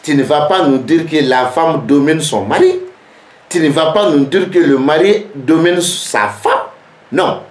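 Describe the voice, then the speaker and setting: A man teaching geomancy in French, which is not his first language, speaking slowly and repeating rhetorical questions. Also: a little high, expressive, roomy sound. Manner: slow, repetitive, rhetorical questions. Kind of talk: spoken lesson to camera.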